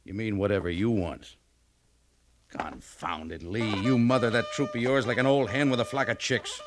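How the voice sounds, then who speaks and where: An American man acting a gruff, exasperated cavalry major in a 1950s radio western, blustery and folksy in his delivery. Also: lowish voice, normal speed, noisy background, natural voice. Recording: 1950s radio drama recording.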